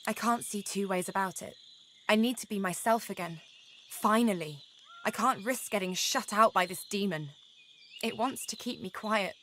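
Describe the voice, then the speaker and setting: A determined fantasy game heroine played by a woman, embattled but earnest. Her mid-pitched voice speaks in short, resolute bursts, with faint noise in the background. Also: fairly bright tone, lightly acted. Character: determined, embattled young woman fighting inner demon. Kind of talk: dramatic video game character acting.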